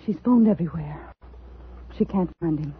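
An actress playing an anxious, clinging middle-aged wife in 1950s radio drama, with an intense, dramatic delivery. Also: female voice, on the low side, lively intonation, moderate pace, close to an everyday voice. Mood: tense, wistful. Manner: dramatic, intense delivery, swinging from hushed narration to pleading. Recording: tinny, noisy 1950s radio recording.

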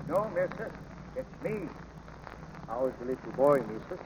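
An actor playing a kindly, loyal ranch hand in an old radio drama, with a halting, plain-spoken, folksy way of talking. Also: male voice, medium pitch, sing-song, vocal fry. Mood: sad, gentle. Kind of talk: 1940s radio drama acting and narration. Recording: noisy old radio broadcast.

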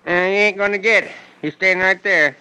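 A man's voice: high-pitched, fairly even intonation, moderate pace, sharp tone, clean tone, rough recording. An actor playing a laid-back rural townsman with a folksy drawl, in 1940s radio acting style. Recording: old radio transcription with faint hiss.

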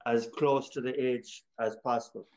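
A man interviewing casually, halting and rephrasing his questions as he goes. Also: medium pitch, little pitch movement, darkish tone. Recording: slightly dull, lo-fi sound.

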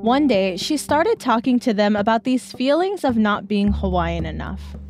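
Higher-voiced woman narrator over music. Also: lively intonation, normal speed, a little mellow. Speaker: narrator and reporter. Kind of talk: scripted documentary narration.

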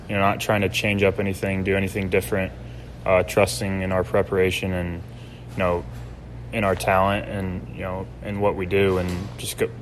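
A young man, a college quarterback, answering interview questions in measured, hesitant phrases. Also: sits low, a little hiss or hum, low-quality recording. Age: young adult.

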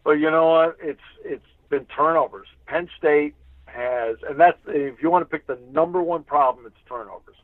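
Phone-line male sports analyst, higher-pitched voice. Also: normal speed, no echo. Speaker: radio play-by-play announcer as guest. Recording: thin dry phone-like line.

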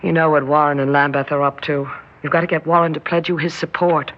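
A woman's voice: low voice, fairly even intonation, fast, natural voice. A sly, elegant conspirator from 1960s radio drama, played by a woman. She is sweetly two-faced in manner. The recording is dull, with faint noise behind the voice. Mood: hushed, conspiring.

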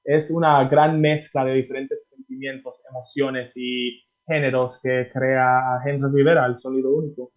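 A man from the Dominican Republic talks about his music in a chatty, rambling way, full of fillers and restarts. His voice sits in a middle pitch. The audio is dull and lo-fi, like a remote call. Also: flat delivery, normal speed, hardly any pauses. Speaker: singer guest. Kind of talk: interview answers.

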